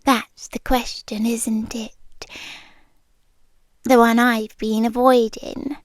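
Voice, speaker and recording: Slow, high-voiced British monster woman, played by an actress. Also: little pitch movement, dry recording. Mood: anxious longing. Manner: slow, intimate, drifting into whispers. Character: ancient female forest monster, possessive, lovelorn captor. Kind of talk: yandere roleplay ASMR. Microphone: close microphone for headphones.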